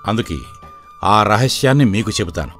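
A man playing a weary, worried but shrewd middle-aged village father in an animated moral story, speaking plainly and earnestly. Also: fairly low pitch, moderate pace. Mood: measured, persuasive. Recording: background music throughout.

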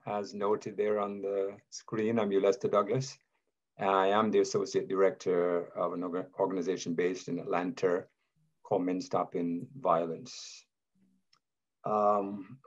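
A man in his fifties introducing himself on a video call panel from an office, measured and formal. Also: low-pitched. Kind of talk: self-introduction. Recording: dull lo-fi video call audio.

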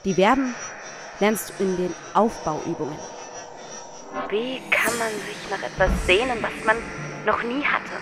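A woman's voice: medium pitch, a little slow, lightly acted. A woman playing a young, self-absorbed, condescending well-to-do wife in a surreal radio play. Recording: music under the voice.

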